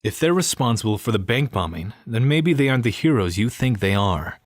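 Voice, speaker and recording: A man acting as a gruff, guarded police detective burdened by grief in an audio crime drama. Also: fairly low pitch, sing-song, brisk, no echo, studio quality. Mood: guarded, curt.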